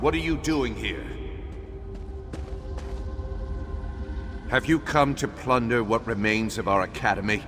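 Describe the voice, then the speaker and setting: An actor playing a grave, remorseful undead imperial battle mage in a video game, speaking in a formal, measured, solemn way. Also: male voice, medium pitch, a bit bright, soft background music, close to an everyday voice. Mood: bitter, imperious. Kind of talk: dramatic video game dialogue. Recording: long hollow reverb, faint background noise.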